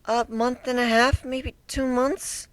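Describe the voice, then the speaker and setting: A nervous young female griffin, acted out by a man in a fantasy story reading. The voice is high and soft, hesitant and prone to stammering, timid yet defensive. The recording is close and very dry. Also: level tone, slow pace, no echo, exaggerated voice. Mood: timid, uneasy. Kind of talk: dramatic fan fiction reading.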